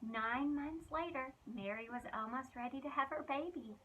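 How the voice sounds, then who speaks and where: A woman reading a children's storybook aloud in an expressive, dramatic way with emphatic phrasing. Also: high voice, moderate pace, dull audio. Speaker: children's ministry reader.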